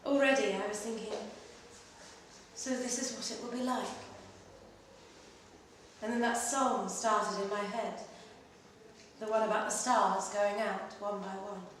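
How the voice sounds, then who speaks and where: A woman reads a first-person short story aloud with expressive, measured phrasing. Her medium-pitched voice comes from some distance in a very echoey room, with faint noise behind it. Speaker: story reader performing at a literary festival. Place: live reading in a library. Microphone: distant microphone.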